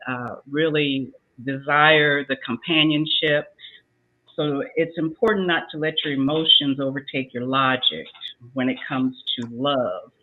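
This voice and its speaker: Older woman, lively storytelling, tinny sound. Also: sits low. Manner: lively storyteller acting out both sides of a conversation. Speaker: talk show panelist. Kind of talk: casual advice talk.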